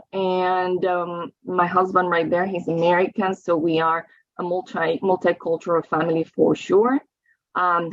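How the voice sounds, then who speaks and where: A woman's voice: on the low side, no echo. A woman, giving a reflective talk over slides and often checking in with "right?" and "you know". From Colombia. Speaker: guest speaker. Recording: dry, slightly dull audio.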